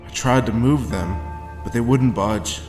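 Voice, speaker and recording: An actor playing a grim, haunted war veteran, telling his story deliberately in a noir audio drama. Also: mid-range voice, music in the background, close to an everyday voice. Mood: somber, haunted. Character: haunted hard-boiled male war veteran recounting his grim past.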